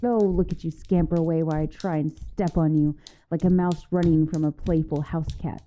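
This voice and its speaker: A woman's voice: low voice, steady tone, fairly quick, mellow, natural voice. A woman voicing a vengeful, teasing young giantess in an ASMR roleplay monologue, mocking and menacing in a playful, theatrical way. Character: gloating, teasing vengeful young giantess taunting shrunken captive.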